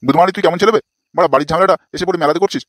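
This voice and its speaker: A man's voice: on the high side, racing, a bit bright, dry recording, clean studio sound. A man voicing an exasperated, foul-mouthed older village father in a comic cartoon, scolding crudely.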